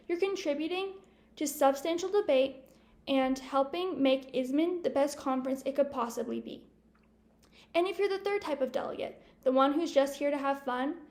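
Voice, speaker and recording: High-voiced teen girl giving a peppy welcome speech to delegates. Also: darkish tone. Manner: upbeat, encouraging. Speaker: student conference leader giving a welcome address. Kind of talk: prepared ceremonial speech.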